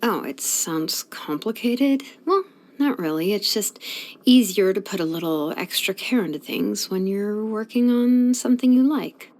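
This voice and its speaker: An actress voicing a laid-back, sleepy young female blacksmith in a video game, with a relaxed, drawling, casual way of talking. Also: medium pitch, a little slow.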